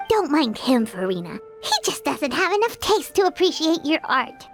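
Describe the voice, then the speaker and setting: An actress voicing a small, chirpy childlike companion in an English-dubbed anime game, blunt and squeaky. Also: female voice, big pitch swings, moderate pace. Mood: reassuring. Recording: music behind the voice.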